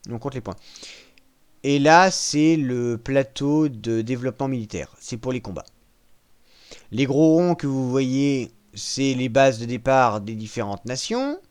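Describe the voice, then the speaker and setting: A man casually walking viewers through a strategy video game, joking at his own expense and pausing often with fillers. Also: mid-range voice, lively intonation, a little mellow, smooth. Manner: self-mocking, hesitant with frequent fillers. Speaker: gaming channel host. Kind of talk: casual gameplay walkthrough.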